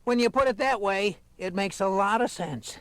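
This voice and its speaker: A man's voice: high-pitched, moderate pace, a bit bright, clean tone, no room sound. A man voicing a cowardly, hungry, goofy young slacker, nervous and wisecracking, in a children's cartoon audio drama. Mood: whiny. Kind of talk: 1970s children's record cartoon acting.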